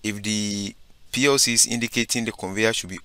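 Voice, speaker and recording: A man giving a step-by-step software tutorial, narrating his screen and often saying "okay" and "so basically". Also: on the low side, fairly even intonation, fairly quick, clear, resonant tone. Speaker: instructor.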